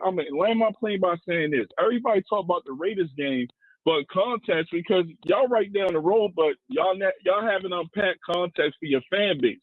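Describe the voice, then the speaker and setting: A man's voice: high voice, no echo. A man with a Baltimore accent, calling a sports radio show and making his point emphatically in long runs. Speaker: caller. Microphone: phone. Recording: phone line.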